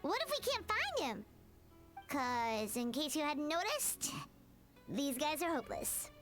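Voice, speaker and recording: A woman voicing a tiny, excitable, childlike fairy companion in a video game, chirpy and quick to exclaim. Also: high-pitched, heavily acted. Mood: fretful. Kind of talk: English video game voice acting. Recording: game music under the voice. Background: game music.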